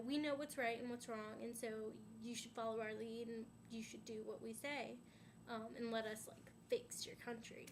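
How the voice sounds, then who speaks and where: A young woman talks to her camera in a loose, rambling way, her fairly high voice full of "um"s and "like"s. She sounds a little stuffy and sniffly from a sinus infection. A faint hiss sits under the recording. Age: young adult. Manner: rambling, many "um"s and "like"s. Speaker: vlogger. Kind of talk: casual chat to camera.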